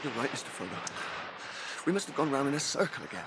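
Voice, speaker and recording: An actor playing a loyal, grumbling hobbit servant in a radio drama, blunt and homely. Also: male voice, medium pitch, sing-song, a little fast, heavy background noise, natural voice. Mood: glum grumbling. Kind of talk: 1980s British radio drama acting.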